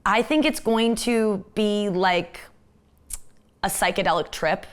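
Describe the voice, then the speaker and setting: A woman comedian with a husky, gravelly voice and strained vocal cords, chatting fast and candidly in an interview. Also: medium pitch. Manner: rapid, candid, self-deprecating talk with many fillers. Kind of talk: casual fast-talking interview chat.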